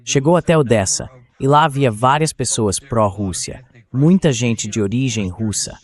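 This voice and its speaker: A man's voice: medium pitch, moderate pace. A man with a Brazilian accent speaking as an interview guest in a translated voice-over, explaining in a conversational way. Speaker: interview guest giving political commentary.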